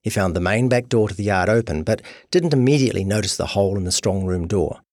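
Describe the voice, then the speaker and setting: Low, husky male narrator in a roomy space. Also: quick pace, a little mellow, studio quality. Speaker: narrator of a true crime podcast. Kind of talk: scripted documentary narration.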